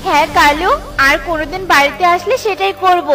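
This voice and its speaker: A woman's voice: very high voice, a little fast, sharp tone. A woman voicing a haughty, greedy crow wife who orders others around in a children's cartoon. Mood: demanding, smug.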